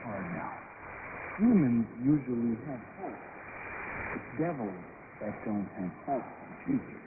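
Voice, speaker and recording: Man, mid-pitched voice, short testimony statements, very noisy shortwave radio. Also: narrow, tinny audio. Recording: noisy band-limited shortwave radio broadcast.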